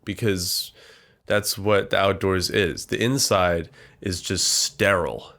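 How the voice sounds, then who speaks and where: A man's voice: low voice, fairly even intonation, takes it slowly, vocal fry, a bit bright. A young man hosting a comedy podcast, rambling casually and self-deprecatingly, his voice congested and nasal. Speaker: podcast host. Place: small warm room with a computer.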